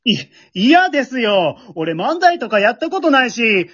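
A baffled teenage schoolboy played by an amateur performer in a comic radio play, blunt and incredulous. The pitch jumps around in lively reactions. The recording is a little dull and lo-fi. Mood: exasperated. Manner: incredulous, quick deadpan retorts. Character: bewildered, blunt male high school student, straight man. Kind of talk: amateur comedic radio drama acting.

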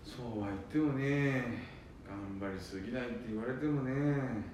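A man's voice: a little slow, a little mellow, close to an everyday voice. A man playing a weary, dutiful eldest son with a Nanbu accent in an amateur radio drama. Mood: subdued. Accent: Nanbu dialect of northern Japan. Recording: very echoey, distant mic, faint rumble.

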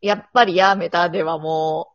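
A woman talks casually and reflectively, feeling out her phrases as she goes. Her voice sits a little low, and the close, dry recording sounds somewhat dull. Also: fairly even intonation, normal speed, dry recording. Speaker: guest, amateur theater troupe founder.

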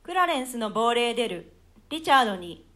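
A woman reading stage directions for a radio play, in brisk, matter-of-fact cues. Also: a little high, big pitch swings, sharp tone.

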